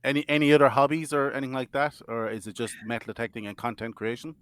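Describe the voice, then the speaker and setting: A man hosting a podcast, asking questions in a friendly, energetic way. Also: mid-range voice, quick pace, smooth, no echo, clean studio sound. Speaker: podcast host. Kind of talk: casual podcast interview.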